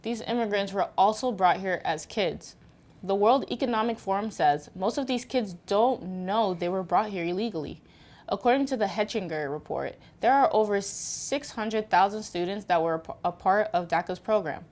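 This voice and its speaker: A woman's voice: mid-range voice, normal speed, a little mellow. A woman co-presenting a scripted news report, reading statistics from a script.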